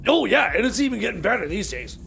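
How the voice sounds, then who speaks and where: Radio comedy role: excitable, scatterbrained time machine mechanic, extremely high strained pitch, gravelly creak, stammering and pushy. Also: male voice, quick pace, a little hiss or hum, exaggerated voice. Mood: excited, rambling. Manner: stammering, repetitive, pleading.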